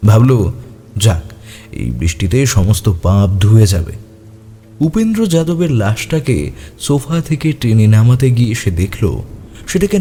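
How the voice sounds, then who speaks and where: Actor as mellow, animated male ghost-story teller. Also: medium pitch. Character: young man telling friends a grim ghost story. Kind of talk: dramatic storytelling in audio drama. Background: background music.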